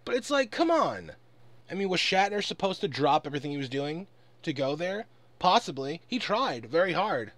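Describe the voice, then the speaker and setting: A man's voice: sits high, sharp tone, no room sound. A man delivering an angry, off-the-cuff rant in a profane, exasperated and emphatic way. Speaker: online commentator recording an audio log.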